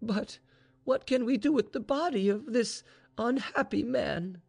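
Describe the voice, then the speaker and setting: A man's voice: very high voice, huge pitch swings, slow pace, creaky, dark tone, slightly rough, pitched above the performer's own voice, full character voice. A man voicing a frightened, haughty young Russian noblewoman, with exclamatory, questioning storybook delivery. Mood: fearful. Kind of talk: storybook dialogue reading.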